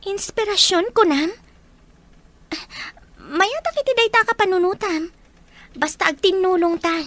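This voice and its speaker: A woman's voice: very high-pitched, a little slow, a bit bright, no echo. A woman playing a concerned, caring young female student in an audio drama, with an Ilocano Filipino accent. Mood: earnest, caring.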